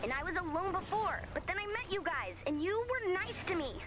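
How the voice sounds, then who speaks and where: A woman's voice: very high-pitched, big pitch swings, fairly quick, noisy background. A woman voicing an emphatic, dramatic young misfit heroine in a video game, sarcastic yet vulnerable. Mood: pleading.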